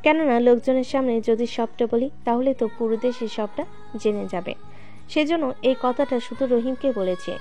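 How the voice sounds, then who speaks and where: Woman narrator, higher-pitched voice, plain matter-of-fact telling of an audio story. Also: a little fast, warm tone, soft background music.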